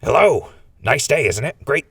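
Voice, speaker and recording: An actor playing a glib, wry male fugitive with a gravelly voice in a post-apocalyptic audio drama. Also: medium pitch, sing-song, moderate pace, fairly bright tone, no echo, clean studio sound, far from the mic, heavily acted. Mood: nervous bluffing. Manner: wry, bluffing. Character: Crispy, glib scheming male wasteland fugitive.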